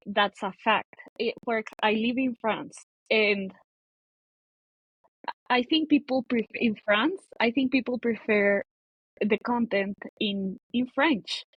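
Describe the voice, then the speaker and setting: A woman's voice: fairly high pitch, slow pace, husky, no echo, dull audio. A woman, a guest on a podcast talking about content marketing, often restarting and cutting off words as she thinks. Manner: hesitant, frequent restarts and cut-off words. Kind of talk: casual interview conversation.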